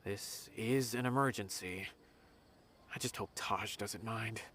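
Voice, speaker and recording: A young adult man voicing an earnest game character who is quick to protest, speaking over soft game music. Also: lowish voice, noisy background. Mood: cautious.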